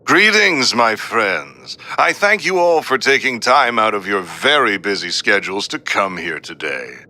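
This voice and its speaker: A man's voice: mid-range voice, heavily acted. A man voicing a suave, coldly polite crime boss delivering a formal, grandiose villain speech in a video game. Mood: courteous menace. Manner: formal, grandiose, calmly threatening.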